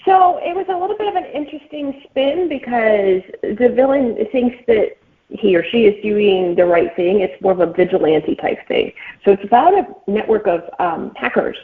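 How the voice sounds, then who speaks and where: A woman's voice: a little high, expressive, moderate pace, narrow, tinny audio. A woman speaking as an author guest in a casual interview, explaining things informally with frequent filler phrases. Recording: thin band-limited call sound.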